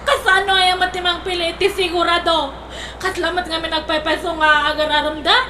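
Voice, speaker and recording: A woman's voice: extremely high pitch, little pitch movement, fairly quick, pushed and bright. An actress playing an emotional single young woman who laughs and cries through her lines. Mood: dramatic. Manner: laughing, teary, dramatic delivery. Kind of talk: radio drama acting. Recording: echoey room with clear noise.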